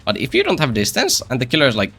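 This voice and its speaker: A man's voice: mid-range voice, huge pitch swings, racing, pushed and bright, husky, soft background music. A man giving casual gameplay commentary as a streamer, rambling and repeating phrases with self-deprecating, joking asides. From Finland. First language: Finnish. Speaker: game streamer.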